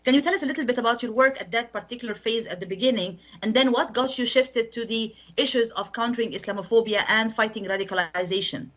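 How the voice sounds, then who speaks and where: A woman's voice: fairly high pitch, fast talker, hardly any pauses, a bit bright. A woman hosting a radio interview show, reading a polished prepared introduction and then asking her guest formal questions.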